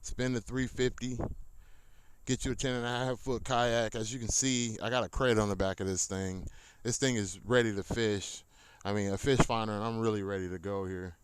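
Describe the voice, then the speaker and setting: A man's voice: fairly low pitch, fairly even intonation, normal speed, clear, resonant tone. An American man giving a casual, unscripted gear walkthrough as a hobby fisherman, rambling in a folksy, informal way into a tablet. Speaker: hobby kayak fisherman showing his gear. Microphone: handheld tablet, moving nearer and farther. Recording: noisy tablet audio, distance from mic shifting.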